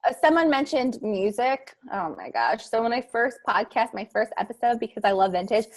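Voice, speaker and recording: Woman, a bit high-pitched, casual video-call guest, rambling explainer. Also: lively intonation, normal speed. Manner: rambling, searching for words, laughing. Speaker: podcast guest speaker. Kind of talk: casual how-to chat in an online meeting.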